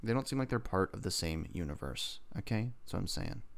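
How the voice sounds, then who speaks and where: A man's voice: low-pitched, animated, brisk, creaky. A man co-hosting a podcast, chatting casually about a TV episode in a teasing, jokey way. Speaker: podcast co-host. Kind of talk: casual TV episode review chat.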